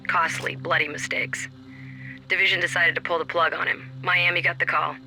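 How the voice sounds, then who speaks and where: An actress playing a cynical, hard-bitten special operations soldier, telling her account in terse, measured phrases in a game cutscene. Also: fairly low pitch, fairly even intonation, bright, forceful tone, soft background music, poor audio. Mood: cynical, grim. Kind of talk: dramatic game cutscene acting.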